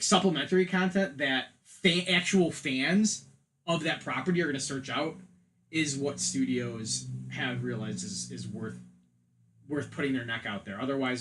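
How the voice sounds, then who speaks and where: A man's voice: mid-range voice, fairly bright tone, rough recording. A man talking casually as a podcast panelist, giving opinions and often repeating words as he thinks aloud.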